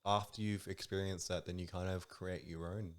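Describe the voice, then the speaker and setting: A man's voice: sits low, fairly even intonation, moderate pace. A man, a podcast guest chatting easily, laughing often and poking fun at himself. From Australia. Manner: easygoing, laughs often, a bit self-mocking. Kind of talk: casual chat.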